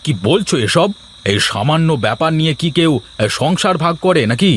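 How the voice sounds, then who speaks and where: A man's voice: on the high side, lively intonation, moderate pace, a bit bright, slight background noise. A man voicing a married village son in a cartoon, exasperated yet placating and reasoning. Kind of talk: Bengali cartoon voice acting.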